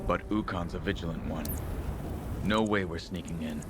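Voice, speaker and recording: Man acting a low-voiced, gruff squad leader. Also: normal speed, noisy background, natural voice. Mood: commanding, resolute. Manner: terse, commanding, dryly wry. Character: gruff, wry male soldier squad leader. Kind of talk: video game cutscene acting. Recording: loud music behind.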